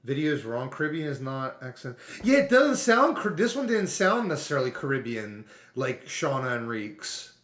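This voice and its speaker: A man's voice: mid-range voice, very animated, normal speed, hardly any pauses, a bit bright, roomy sound. A man streaming a casual reaction commentary, rambling with lots of "like" and restarts.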